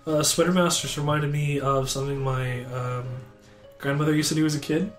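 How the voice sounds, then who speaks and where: A man's voice: fairly high pitch, steady tone, fairly quick. A young man casually commentating a video game, rambling and joking as he reads dialogue aloud. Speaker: let's play commentator. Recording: roomy with game music behind.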